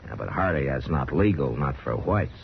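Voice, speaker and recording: Very deep-voiced frontier marshal. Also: male voice, lively intonation, creaky, exaggerated voice. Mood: firm. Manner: measured, plain-spoken, hesitant pauses. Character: steady, tactful but firm frontier marshal. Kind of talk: 1950s radio western acting. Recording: dull, noisy old radio recording.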